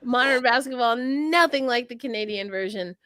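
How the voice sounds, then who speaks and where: A woman's voice: fairly high pitch, moderate pace, a crowd in the background. A woman hosting a sports talk show, blunt and exasperated, rambling through her asides. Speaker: podcast host. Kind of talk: casual sports chat.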